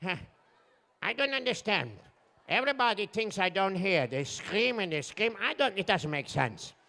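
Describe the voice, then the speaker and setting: A man's voice: high voice, animated, moderate pace, creaky, sharp tone, exaggerated voice. A man voicing a hard-of-hearing bus driver, puzzled and stubborn, arguing in broken grammar for a told story. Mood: bewildered. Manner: broken grammar, bewildered, argumentative.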